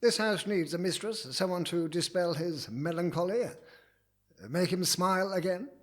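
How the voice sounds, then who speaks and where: An actor playing a duke's loyal major-domo in a period audio drama, courtly, deliberate and insinuating. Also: male voice, high-pitched, fairly bright tone. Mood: courtly, measured.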